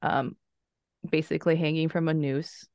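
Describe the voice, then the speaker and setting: Low-voiced woman on a dry mic. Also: moderate pace, no room sound. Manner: searching for words, then steady storytelling. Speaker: podcast guest, horror writer. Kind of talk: casual roundtable chat.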